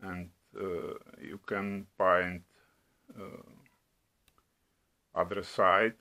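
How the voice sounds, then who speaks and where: A middle-aged man from the Czech Republic with a Czech accent, demonstrating products at home in a slow, halting way with many hesitations. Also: low voice, little pitch movement, bright, forceful tone. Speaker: product reviewer. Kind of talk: casual product demo. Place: home room at a table.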